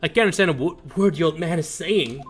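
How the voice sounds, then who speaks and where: A man's voice: a little high, fast talker, faint music behind. A man streaming a game, reading visual novel dialogue aloud.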